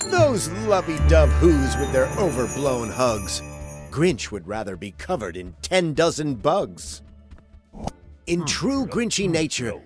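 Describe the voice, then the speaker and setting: A man narrating a rhyming children's storybook tale with dramatic, drawn-out, playful emphasis. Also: on the high side.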